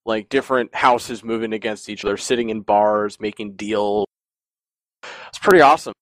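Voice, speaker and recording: Low-voiced man rambling through stories. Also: moderate pace, no echo. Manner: rambling, animated storyteller who often laughs. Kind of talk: casual podcast chat.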